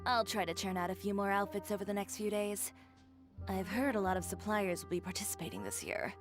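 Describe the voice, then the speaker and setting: An actress playing a confident, blunt, haughty young fashion designer in a video game, speaking with cool, dry self-assurance. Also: female voice, medium pitch, fairly even intonation, brisk, over music, close to an everyday voice. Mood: assured, protective.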